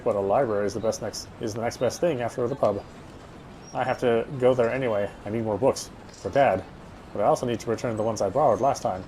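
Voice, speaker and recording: Man reading aloud, mellow, music behind. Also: medium pitch. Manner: stumbles and rereads phrases. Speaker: game streamer reading aloud. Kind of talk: reading visual novel narration aloud.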